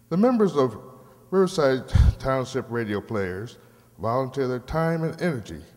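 An older man reading a scripted thank-you speech to an audience from a stage. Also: medium pitch. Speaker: program director addressing audience. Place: large hall stage, indoors. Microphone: stand microphone through PA. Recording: reverberant hall.

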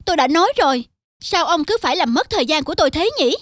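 A woman's voice: high voice, lively intonation, fast, a bit bright, no echo, clean studio sound. A woman voicing an officious young bank teller in a cartoon, speaking with formal customer-service politeness. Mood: curt, dismissive. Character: officious young female bank teller, dismissive then deferential. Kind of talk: cartoon dubbing. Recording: music under the voice.

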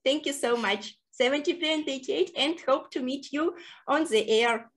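A woman in her thirties hosting an informal tutorial, speaking deliberate, hesitant non-native English. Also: high-pitched. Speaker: host of a ham radio tutorial series. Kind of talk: informal language lesson, presenting to camera. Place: small indoor room with whiteboard.

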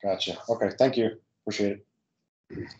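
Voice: deep voice, fairly even intonation, moderate pace, darkish tone, highs cut off. A student on a video call politely asking the teacher a question about something that confuses them.

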